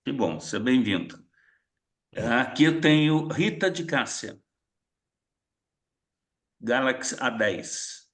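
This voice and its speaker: A man's voice: mid-range voice, expressive, takes it slowly, long pauses, a bit bright. A man facilitating an online retreat meeting, calling participants by name and welcoming each one briefly. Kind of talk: casual online group meeting. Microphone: video call.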